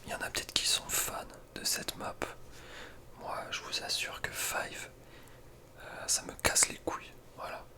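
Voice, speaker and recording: Whispering young man, ASMR. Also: a little slow. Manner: informal, slangy, hesitant musing. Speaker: ASMR video creator. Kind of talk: whispered ASMR, casual gaming commentary.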